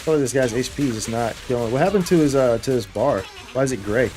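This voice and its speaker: A man's voice: mid-range voice, fast, warm tone, soft background music. A man streaming a game with casual, slangy live commentary, often repeating his own phrases.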